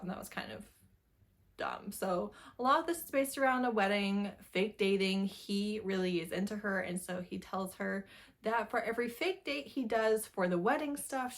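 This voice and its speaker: A woman talks through books in a chatty, informal way, like a video host speaking straight to viewers. Her voice sits at an average female pitch. She stresses her opinions by repeating phrases. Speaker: book reviewer, video host. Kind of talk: casual book review chat to camera.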